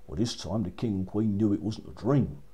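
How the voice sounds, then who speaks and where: A man narrating a children's story as a storyteller, with expressive, dramatic delivery. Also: lowish voice, moderate pace, husky.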